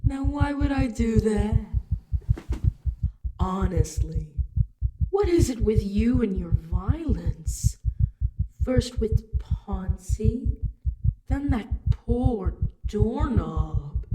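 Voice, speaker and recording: A woman's voice: on the high side, animated, extremely slow, long pauses, noisy background. A woman playing a taunting, menacing female spirit with a mocking, theatrical manner in an audio roleplay. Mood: playful taunting. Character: taunting, playful, menacing female spirit toying with her victim. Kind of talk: narrative audio roleplay acting.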